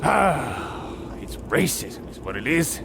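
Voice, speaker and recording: A man acts out a pompous husband in a comic radio play, pitched high for a man. He blusters theatrically and grandly, oblivious to his wife. A faint noise sits behind the voice. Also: sing-song, slow, creaky, heavily acted. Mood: blustering, defensive. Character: pompous, oblivious, bickering American husband on holiday. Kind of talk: comedic radio play acting.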